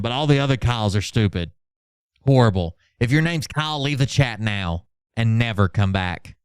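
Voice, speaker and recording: A man's voice: on the low side, clean tone, dry recording, studio quality. A man hosting a commentary stream in a sarcastic, mocking, profane manner. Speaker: host of a commentary livestream. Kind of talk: sarcastic reaction commentary with mock-dramatic readings.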